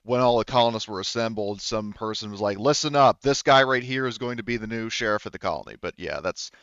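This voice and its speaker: A man's voice: lowish voice, fast, a bit bright, clean tone, dry recording, dull audio. A man casually explaining a tabletop game as game master, in an easygoing, informal way.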